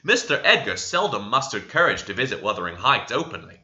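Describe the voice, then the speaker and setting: Bright, animated man reading a classic novel. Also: on the low side, brisk, lo-fi sound. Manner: expressive reading with occasional stumbles. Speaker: amateur audiobook reader. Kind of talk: scripted narration of a classic novel.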